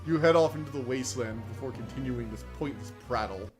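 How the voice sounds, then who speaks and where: A man streaming a video game, chatting excitably and reading the game text aloud, laughing often, with a slightly whiny voice. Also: mid-range voice, fast talker. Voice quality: self-described whiny voice. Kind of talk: casual let's-play commentary, reading game text aloud. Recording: game music behind.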